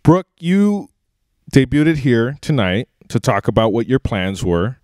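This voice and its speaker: Man, young adult, wrestling show host, medium pitch, earnest announcer delivery, handheld mic, dry. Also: sing-song, a little slow, a little mellow, no room sound. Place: indoor wrestling ring. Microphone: handheld microphone held close.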